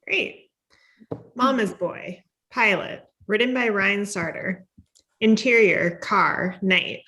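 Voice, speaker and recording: A woman's voice: medium pitch, slow pace, pushed and bright. A woman reading the stage directions of a screenplay at a table read, as scripted narration.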